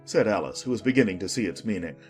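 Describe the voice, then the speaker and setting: A man narrating a scripted audiobook story in an expressive manner. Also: fairly low pitch, fast talker, soft background music.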